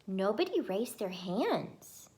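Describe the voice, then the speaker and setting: A woman voicing an excitable, boastful little schoolgirl in a children's storybook, with childish grammar and gushing emphasis. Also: mid-range voice, takes it slowly. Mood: chatty, self-satisfied. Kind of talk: first-person children's storybook read-aloud.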